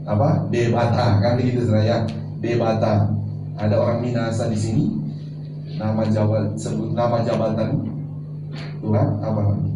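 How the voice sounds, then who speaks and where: A man's voice: lowish voice, level tone, moderate pace, pauses often, loud music behind the voice. A man preaching a sermon as pastor, teaching and putting questions to the congregation. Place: large church hall. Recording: echoey church, far from mic, noisy.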